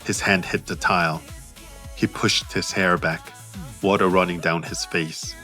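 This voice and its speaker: Very deep-voiced man narrating an audiobook. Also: fairly even intonation, a little slow, bright, forceful tone, faint music behind. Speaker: author and narrator.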